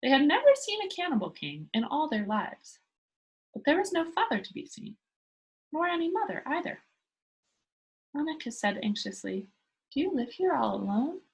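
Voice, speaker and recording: A woman reading a children's storybook aloud in an expressive narrating style. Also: high-pitched, normal speed, long pauses, poor audio. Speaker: storybook reader.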